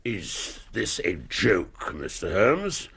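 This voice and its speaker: An actor playing a haughty, weary, impatient duke in a radio drama, curt and imperious, with a husky Received Pronunciation English voice. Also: male voice, deep voice, huge pitch swings, takes it slowly, a bit bright, full character voice. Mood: indignant.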